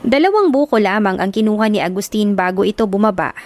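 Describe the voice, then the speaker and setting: A woman narrating a romance audiobook in a steady storytelling voice, on a close studio mic. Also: medium pitch, fast.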